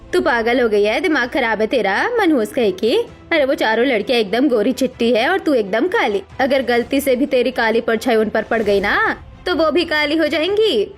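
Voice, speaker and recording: Woman acting a harsh cartoon mother, middle-aged, scornful, nagging, dramatic, high-pitched, music behind. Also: animated, normal speed. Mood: harsh, contemptuous. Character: harsh, status-obsessed middle-aged mother scolding her daughter. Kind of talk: Hindi cartoon story voice acting.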